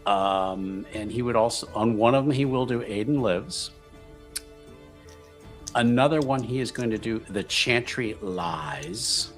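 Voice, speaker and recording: A man with a mid-pitched voice talks very slowly through his character's plans in a tabletop game. He is casual and hesitant, thinking aloud over a bed of music. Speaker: player in a tabletop roleplaying game. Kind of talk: casual improvised character narration. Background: background music.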